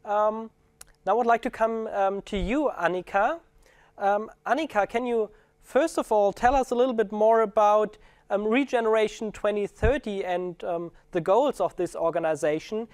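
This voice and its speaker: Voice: mid-range voice, normal speed, a little mellow, no echo. Moderator, hesitant, many ums, dry sound. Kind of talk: webinar moderation.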